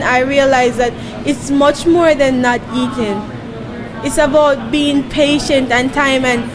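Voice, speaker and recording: High-voiced young woman in a crowd. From Jamaica. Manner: earnest, searches for words. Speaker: volunteer guest. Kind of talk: on-the-spot interview answers. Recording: noisy crowd around.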